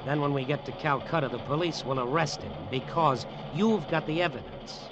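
A man's voice: a little high, brisk, lightly acted. An actor playing a cynical, menacing tough guy in an old radio drama, clipped, slangy and hard-boiled. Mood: cold menace. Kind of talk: old radio drama acting and noir narration. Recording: muffled, noisy old radio recording.

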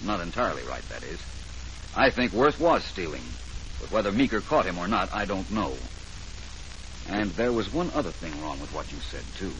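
Shrewd private detective, lowish voice, assured, deliberate, commanding, noisy vintage radio mystery. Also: male voice, very animated, fairly bright tone, exaggerated voice. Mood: firm, explaining. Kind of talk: 1940s radio detective drama acting.

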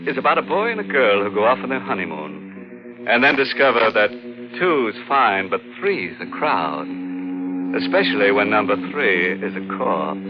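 A man's voice: fairly low pitch, sing-song, moderate pace. An actor playing a mock-sinister, tongue-in-cheek horror host on 1940s radio, savouring his macabre puns. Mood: gleeful, macabre. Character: gleefully macabre male horror show host. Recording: muffled old radio broadcast with music.

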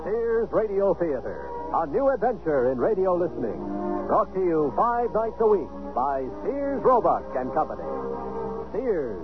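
A man announces a radio theatre show from a script with a high, swooping delivery. Loud music runs with him on the old broadcast. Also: big pitch swings, a little slow. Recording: narrow-band 1970s radio, loud music.

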